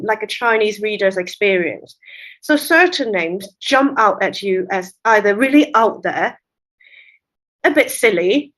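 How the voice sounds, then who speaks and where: Woman guest, cantonese first language, fairly high voice, thoughtful and chatty, explains with little laughs. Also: fairly bright tone, low-quality recording. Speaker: literary translator, guest. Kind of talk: conversational interview.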